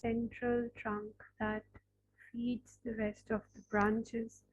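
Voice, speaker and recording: A woman leading a guided meditation, speaking softly with long pauses between phrases. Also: fairly high pitch, level tone, highs cut off, a little hiss or hum. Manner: slow, soft, pausing between phrases.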